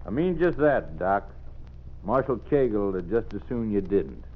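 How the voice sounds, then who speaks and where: A muffled old radio recording of a sly cowboy henchman. His voice is low with wide swings, a folksy Western drawl carrying menace. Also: big pitch swings, a little slow. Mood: sly threat. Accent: American Western drawl.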